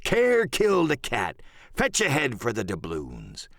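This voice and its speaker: A man's voice: mid-range voice, wildly swooping pitch, a little slow, fairly bright tone, dry recording, studio quality, heavily acted. A man voicing a wily, commanding pirate ship's cook in an audiobook, with a salty, theatrical sailor's drawl. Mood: commanding, dismissive. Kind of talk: audiobook character acting.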